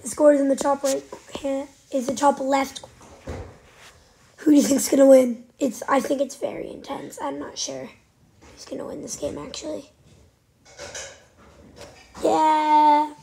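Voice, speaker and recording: A woman with a cold giving casual, excitable commentary while playing a game, sometimes dropping to a whisper. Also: high voice, a little slow, creaky, long pauses. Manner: chatty, excitable, trailing off into whispers. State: has a cold. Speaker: gaming channel host. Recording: faint background noise.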